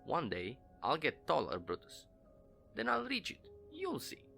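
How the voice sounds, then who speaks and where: A man puts on a small boy's voice for a spooky storybook tale, pitched far above his own and bouncing between pouting and delight. The little lad sounds eager and chirpy, with a low, slow storyteller's gravel showing underneath. A faint music bed plays behind. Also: huge pitch swings, bright, forceful tone, full character voice. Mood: pouty hopeful. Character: small excitable young boy.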